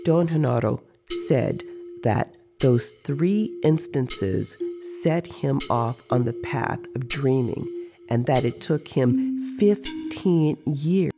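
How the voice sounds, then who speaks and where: A man narrating a scripted audio story in a measured, serious manner. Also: medium pitch, expressive, creaky, music in the background.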